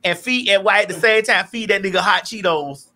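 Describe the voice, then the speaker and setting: Middle-aged lady hosting a stream, blunt n playful, cussing lots, bright voice, close mic. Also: mid-range voice, fairly quick. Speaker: talk show host. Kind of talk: casual profane livestream chat. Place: indoor studio livestream. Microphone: studio mic on stand, close.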